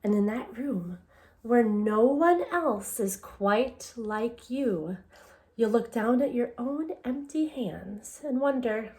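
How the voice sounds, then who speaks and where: A woman with a fairly high voice reads a picture book aloud as a teacher would to young children. She takes her time, shaping phrases expressively and turning to her listeners with simple, conversational questions. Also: lively intonation, takes it slowly, darkish tone. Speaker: elementary English language development teacher.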